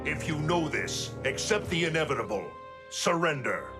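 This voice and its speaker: A man playing a stern, quick-tempered thunder god in a video game cutscene, speaking in a grave, commanding, formal way. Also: fairly high pitch, very animated. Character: wrathful male thunder god, stern protector of Earth. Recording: music behind. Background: music.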